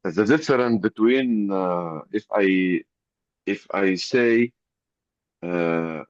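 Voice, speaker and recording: Male student, halting speech, lowish voice, muffled lo-fi sound. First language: Arabic. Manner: hesitant, halting, many "uh" pauses. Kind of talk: class conversation. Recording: dull, lo-fi audio.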